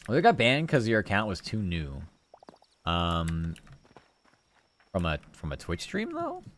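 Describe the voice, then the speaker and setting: Low, cozy-voiced man streaming a game. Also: huge pitch swings, measured pace, a bit bright, a little hiss or hum. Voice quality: comfy, cozy tone. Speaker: livestreamer. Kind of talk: casual gameplay chat with viewers.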